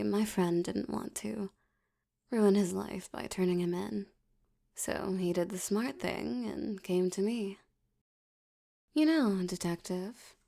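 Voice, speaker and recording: A seductive female mafia boss, acted by a woman in an ASMR audio drama, slow and teasing with a mellow, creaky voice. She flirts obsessively and threatens softly, often sinking into a breathy whisper. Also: medium pitch. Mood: flirtatious, teasing.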